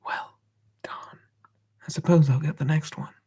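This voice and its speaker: A polite, easily sidetracked spirit cat, as the storyteller puts him on dithers in a breathy whisper. He mulls things over slowly with a gravelly crackle. The tone stays soft and mellow. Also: medium pitch, steady tone. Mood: flustered. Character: polite, easily distracted male soul-snatching cat.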